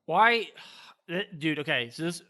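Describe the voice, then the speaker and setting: Bright-voiced man questioning a film. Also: fairly high pitch, expressive, takes it slowly. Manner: incredulous, questioning. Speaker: film podcast co-host. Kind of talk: casual film discussion.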